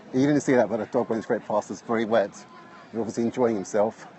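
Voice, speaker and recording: A man's voice: on the low side, steady tone, fast, warm tone, a little hiss or hum, poor audio. Friendly English man greeting viewers outdoors. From England. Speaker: co-host of a live walking stream. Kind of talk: casual chat while walking outdoors. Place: outdoors by a busy lakeside. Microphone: phone held while walking.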